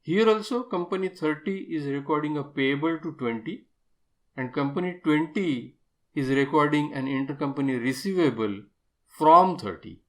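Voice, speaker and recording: A man narrating an explanatory software tutorial as an instructor, methodical and step-by-step, stressing key terms. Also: on the high side, expressive, moderate pace.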